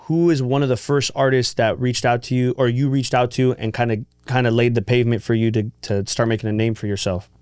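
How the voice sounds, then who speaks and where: A man's voice: medium pitch, little pitch movement, fairly quick, few pauses, a little mellow, smooth, dry recording, clean studio sound. A man hosting a podcast interview in a casual, slangy, encouraging way.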